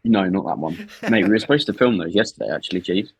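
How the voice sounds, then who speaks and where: A man's voice: sits low, fast. A man in his twenties co-hosting a podcast, teasing his co-host and reading out listener questions. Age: 20s. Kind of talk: casual chat.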